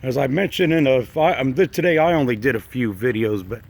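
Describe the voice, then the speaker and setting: A man with a slightly froggy voice from allergies, giving halting, musing, folksy commentary as he walks around exploring with a handheld camera. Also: medium pitch, vocal fry. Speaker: urban exploration video maker. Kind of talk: casual unscripted walk-through commentary. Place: walking around an abandoned park site.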